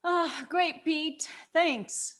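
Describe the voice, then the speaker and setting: Higher-voiced, mild young peacemaker played by an actress. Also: female voice, very slow, a bit bright, full character voice. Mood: concerned. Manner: hesitant, conversational, conciliatory. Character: kind, level-headed young woman, mild peacemaker. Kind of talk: table read acting of a screenplay.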